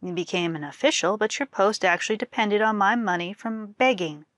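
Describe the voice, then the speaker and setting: A woman's voice: medium pitch, a little fast, fairly bright tone, no room sound, clean studio sound, close to an everyday voice. A woman voicing an old beggar man in a folktale, a father-in-law scolding reproachfully.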